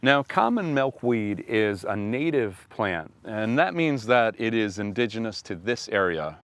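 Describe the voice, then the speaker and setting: Earnest low-voiced man explaining outdoors. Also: very animated, no room sound. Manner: earnest, unhurried, repeats words while thinking. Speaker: foraging show host. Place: open field outdoors.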